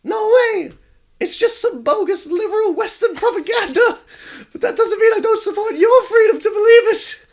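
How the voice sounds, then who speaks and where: A man doing a high gentle ghost girl. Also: normal speed, gravelly. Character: young female ghost girl, gentle and forgiving.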